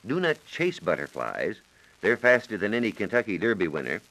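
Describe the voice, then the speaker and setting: An American man giving measured, precise instructions as a science reporter on a scripted 1940s radio program. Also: lowish voice, wildly swooping pitch, a bit bright, low-quality recording. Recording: 1940s radio broadcast recording.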